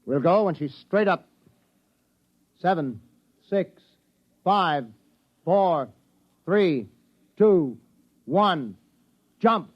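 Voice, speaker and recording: An actor playing a terse, steady male spaceship captain in clipped, authoritative 1950s radio drama style. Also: high-pitched, very slow, long pauses, exaggerated voice. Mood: tense, commanding. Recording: dull vintage radio recording.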